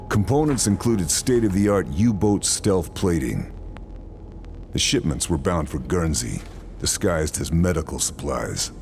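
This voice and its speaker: A man's voice: very deep voice, darkish tone, a little hiss or hum, heavily acted. A male actor playing a stoic, determined lone soldier in a video game, terse and controlled. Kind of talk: video game cutscene acting and briefing narration.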